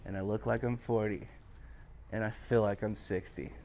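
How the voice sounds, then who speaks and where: A man's voice: lowish voice, steady tone, moderate pace, warm tone. Wry man in his thirties, noisy background. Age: 30s. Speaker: tattoo studio owner. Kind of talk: documentary interview.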